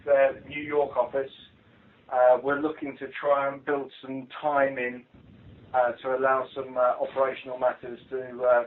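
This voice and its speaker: A tinny phone line carries a British man with a medium-pitched voice. He talks in a halting, hesitant way, like a police officer briefing colleagues informally on a conference call.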